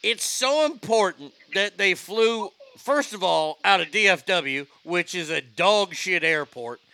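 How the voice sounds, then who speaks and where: A man hosting a casual talk show, ranting in an animated, profane way. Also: high-pitched, a little slow, gravelly, clean tone. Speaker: host.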